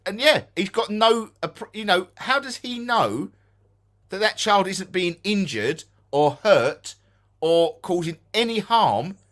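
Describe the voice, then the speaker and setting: A man's voice: high voice, takes it slowly. Emphatic Essex man on a live stream. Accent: Essex English. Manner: animated, emphatic, repeats himself for effect. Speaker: co-host of a ghost hunting live stream. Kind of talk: casual live-stream chat.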